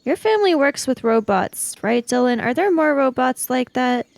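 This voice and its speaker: A woman roleplaying an anxious, questioning kid in a 1980s sci-fi mystery tabletop game, rambling through questions in a creaky voice. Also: high voice, animated, normal speed, a little mellow. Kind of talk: improvised in-character tabletop roleplay.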